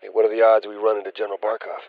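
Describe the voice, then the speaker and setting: An actor playing a gruff, wry, laconic American Marine in video game battle chatter. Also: male voice, lowish voice, completely flat delivery, racing, no echo, narrow, tinny audio. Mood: businesslike.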